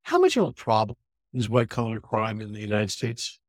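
A man hosting an interview podcast, putting questions deliberately in short, measured phrases. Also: on the low side, wildly swooping pitch, dry recording, studio quality.

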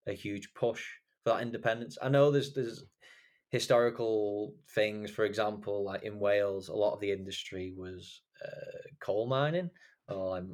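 A young man from England hosts a relaxed podcast conversation, his voice sitting on the low side. He rambles as he talks, filling gaps with ums and likes and restarting his sentences. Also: normal speed. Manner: rambling, many fillers and restarts. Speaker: podcast host. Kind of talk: casual chat.

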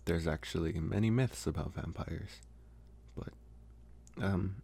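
Guy acting as a college vampire boy, dark relaxed husky voice, soft and halting, gently possessive, asmr style. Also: sits low, exaggerated voice. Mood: amused, casual. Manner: hesitant, soft-spoken, slow with long pauses. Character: young male college vampire, smitten, calm, gently possessive. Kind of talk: ASMR roleplay, intimate one-sided dialogue.

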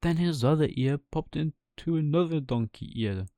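A man's voice: fairly high pitch, normal speed, dark tone, no echo. A man reading a children's bedtime story as its narrator.

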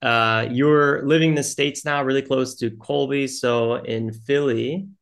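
A man's voice: medium pitch, a little slow. Easygoing male podcast host. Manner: relaxed, self-deprecating, hesitant fillers. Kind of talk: casual chat.

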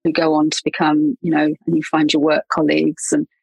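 A middle-aged woman with an English accent talks casually as a podcast guest, her low voice husky and breathy. She laughs often and wanders through her sentences with fillers and restarts. The sound is close and dry. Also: monotone, brisk, no echo, poor audio. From England. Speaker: podcast guest, marketing consultant. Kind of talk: casual interview chat.

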